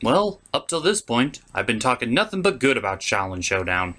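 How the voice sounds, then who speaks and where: A man's voice: medium pitch, sing-song, moderate pace, pushed and bright. A man hosting a scripted comedic cartoon review, theatrical and opinionated with crude turns of phrase. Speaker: cartoon reviewer, show host. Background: music bed.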